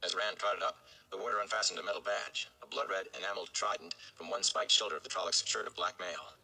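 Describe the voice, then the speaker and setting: A man's voice: low-pitched, steady tone, quick pace, vocal fry, sharp tone, rough recording. A young adult man, giving a dramatic narration of a story. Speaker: audiobook-style reader for a fan read-along. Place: small indoor room.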